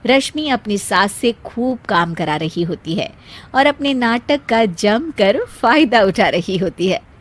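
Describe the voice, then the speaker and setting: A woman's voice: mid-range voice, animated, takes it slowly. A woman narrating a scripted cartoon story as a storyteller.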